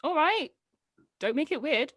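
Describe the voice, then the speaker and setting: Woman acting sarcastic teenage daughter, lively swooping delivery. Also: high voice, unhurried, dry recording. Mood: needling, dismissive. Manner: dry sarcasm, teasing. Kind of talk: table read of an audio drama script.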